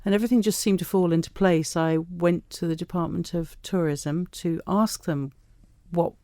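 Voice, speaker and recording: Female interviewee, middle-aged, big low-to-mid voice with a soft mellow tone, chatty, close dry studio sound. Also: steady tone, normal speed, dry recording. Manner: chatty, anecdotal. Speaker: studio guest, self-employed tour guide. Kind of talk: conversational interview. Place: radio studio.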